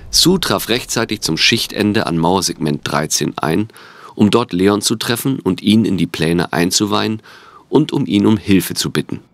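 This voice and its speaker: A man's voice: lowish voice, expressive, normal speed, gravelly, no room sound. A man reading scripted narration for an audio drama.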